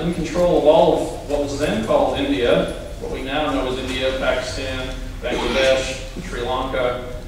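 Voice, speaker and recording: A man giving a lecture at a political rally, with a wry, deadpan humor. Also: mid-range voice, slight background noise. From Hawaii. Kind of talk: lecture with dry jokes. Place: large hall before an audience. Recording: echoey hall from a distance.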